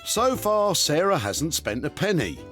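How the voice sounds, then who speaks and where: A man's voice: on the high side, big pitch swings, measured pace, fairly bright tone. A man reading a scripted voice-over for a TV show, with wry, teasing asides. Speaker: narrator of a TV upcycling show. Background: music.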